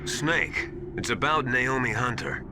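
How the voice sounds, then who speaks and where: A man's voice: medium pitch, lively intonation, unhurried, close to an everyday voice. A man playing an earnest, anxious confidant in a video game, passing on secrets in a hesitant way. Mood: secretive. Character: earnest, anxious adult male confidant sharing secret intelligence. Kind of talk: dramatic video game voice acting. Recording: loud music behind the dialogue.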